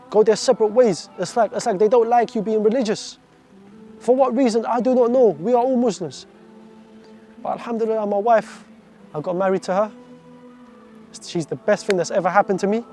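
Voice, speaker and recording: A man's voice: high-pitched, warm tone. A man in his twenties from Iraq, giving an earnest, heartfelt faith testimony full of devout phrases. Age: 20s.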